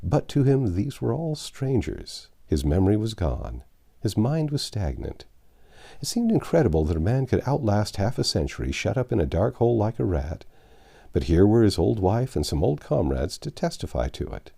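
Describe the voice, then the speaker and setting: A man reading classic literature aloud as an audiobook narrator, phrasing deliberately and expressively. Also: lowish voice, very animated, vocal fry, mellow.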